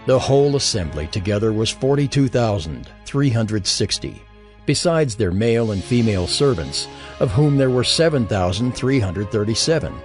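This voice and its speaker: A man narrating scripture as a dramatized audiobook, in a solemn, measured storytelling manner. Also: medium pitch. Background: soft background music.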